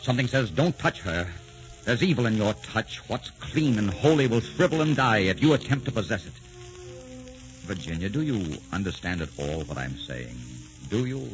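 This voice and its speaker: Male lead: tortured romantic poet, deep voice, anguished delivery, old radio drama with music under muffled sound. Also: sing-song. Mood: tormented. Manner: anguished, melodramatic, halting. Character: tormented, brooding poet, a man in love. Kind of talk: 1940s radio acting.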